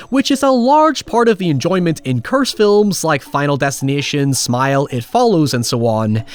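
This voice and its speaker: A man narrating a scripted video essay as its host, giving his opinions with a wry, casually sweary manner. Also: on the high side. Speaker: host of a film retrospective show.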